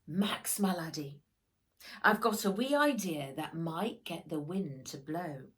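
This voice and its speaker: An old Scottish grandpa from a children's storybook, voiced by a woman reading aloud. He is kindly and twinkly, coaxing with affection. His delivery takes its time and rises and falls in a lively way. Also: lowish voice, slow pace.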